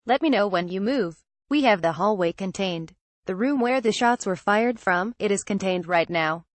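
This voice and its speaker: Lady news reader, kinda synthetic, mid pitch, reads script straight, super dry sound no echo. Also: lively intonation, moderate pace. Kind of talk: scripted news narration, reading aloud.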